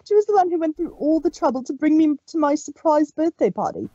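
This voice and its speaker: High childlike pony mare, pleading and stammering, read by a woman. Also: animated, quick pace, warm tone, no echo, dull audio. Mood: realizing. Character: bubbly pink female pony, now distraught. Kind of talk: fanfic read-aloud on stream.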